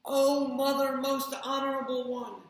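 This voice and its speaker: A woman's voice: high-pitched, a little slow, vocal fry, voice pushed up. A woman voicing a small frantic boy who pleads breathlessly and stumbles over a long name. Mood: breathless pleading. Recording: echoey room.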